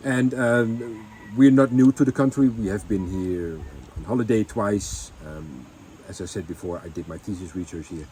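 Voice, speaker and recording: Low-voiced man, halting. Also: warm tone, a little hiss or hum, poor audio. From Netherlands. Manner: halting, many ums and restarts. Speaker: vlogger. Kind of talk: casual storytelling chat.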